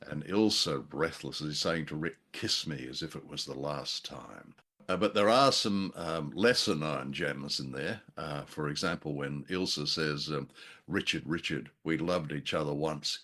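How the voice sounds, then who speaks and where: Very deep-voiced middle-aged man, casual chat. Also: a bit bright. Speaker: podcast host. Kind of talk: casual film discussion.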